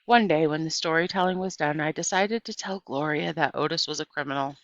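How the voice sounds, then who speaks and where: A woman's voice: sits low, fairly quick, no room sound. A woman reading a first-person storybook aloud as scripted narration, on a dry, slightly muffled recording. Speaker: audiobook reader.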